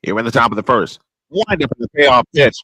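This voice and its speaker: A man's voice: mid-range voice, lively intonation, fairly quick, bright, forceful tone, slightly rough, no room sound, poor audio. A man doing old-school baseball play-by-play commentary as the host of a broadcast.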